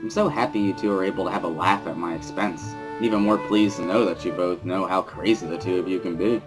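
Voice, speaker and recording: A man's voice: low-pitched, steady tone, fast talker, music in the background, poor audio. A man reading first-person scripted narration from a visual novel as a dramatic reading.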